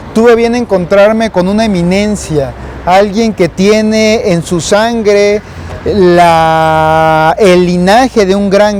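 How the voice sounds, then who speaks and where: A man hosting a street interview, greeting viewers and drawing out his guest with friendly questions and steady backchannel. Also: sits high, slight background noise. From Mexico. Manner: friendly, encouraging, frequent backchannel. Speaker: host. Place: outdoors on a city street.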